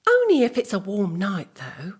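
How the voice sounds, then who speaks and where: A woman's voice: mid-range voice, huge pitch swings, normal speed, husky. A middle-aged woman playing an earnest, exasperated wife in a comic audio play, speaking in an emphatic, insistent way. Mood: calm. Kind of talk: two-hander comic audio play dialogue.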